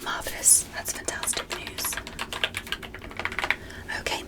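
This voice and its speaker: A curious, gentle alien doctor, performed by a woman conducts a soft ASMR examination. The delivery is almost entirely a breathy whisper. The phrasing is formal and clinical, careful and full of encouragement. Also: slow pace, natural voice. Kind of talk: ASMR medical roleplay, whispered.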